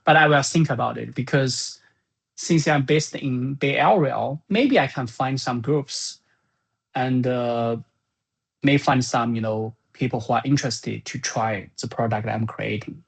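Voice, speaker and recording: A software creator giving an informal spoken summary of business plans in a halting, think-aloud manner. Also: medium pitch, creaky, slightly rough.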